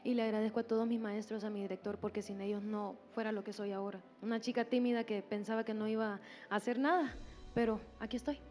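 A woman's voice: mid-range voice, fairly even intonation, fast, a little mellow, faint music behind. A young woman giving humble, grateful remarks on a stage. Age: young adult. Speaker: contestant. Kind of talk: thank-you remarks on stage. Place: TV studio stage. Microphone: handheld microphone.

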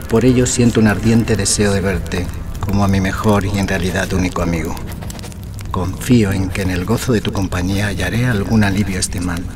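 An actor playing an ailing, tormented gentleman in a Gothic audio drama, speaking in a formal, solemn, literary manner. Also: low voice, fairly even intonation, brisk, background noise, natural voice. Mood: anguished, weary. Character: ailing, tormented gentleman writing to his childhood friend. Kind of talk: Gothic radio drama acting.

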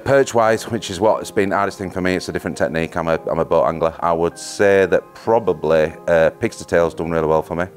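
Deep voiced angler dude, frank and plain, lapel mic, light music under. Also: male voice, quick pace, hardly any pauses. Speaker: angler interviewee. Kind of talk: casual interview answers. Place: indoor room.

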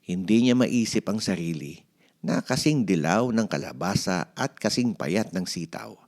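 Deep, creaky Filipino man reading a storybook. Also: lively intonation, a little slow, a little mellow. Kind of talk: expressive children's storybook read-aloud.

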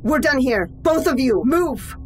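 A woman's voice: high-pitched, expressive, measured pace, background noise. A woman playing a commanding, blunt mission leader on a space station, with terse authority, in game audio logs. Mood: urgent command. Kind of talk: scripted sci-fi game audio log acting.

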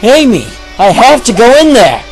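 A man's voice: very high-pitched, sing-song, moderate pace, vocal fry, over music, exaggerated voice. A man playing a brave young cartoon hedgehog hero in an amateur radio play, earnest and resolute. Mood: determined.

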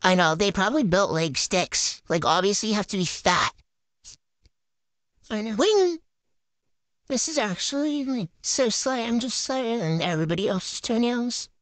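Giggly bragging woman, lively pitch. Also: normal speed, no echo. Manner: giggly, silly, bragging, talks in teen slang. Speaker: game video voice-over. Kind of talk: playful improvised banter voicing game characters.

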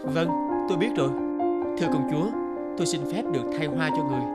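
A man voicing an earnest, soft-spoken young character in a cartoon dub. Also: on the high side, fast talker, lightly acted. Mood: polite. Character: gloomy personified Night, and polite young prince. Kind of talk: Vietnamese cartoon dubbing. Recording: loud music behind the voice.

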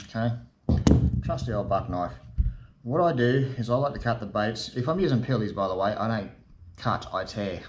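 A middle-aged Australian man with a somewhat low voice talks through a fishing demonstration in an easygoing, matey way, full of fillers and asides. He sits at a table in a garage workshop, heard through a camera set in front of him. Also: a little hiss or hum. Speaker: fishing channel host. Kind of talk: casual how-to demonstration. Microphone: camera in front at desk distance.

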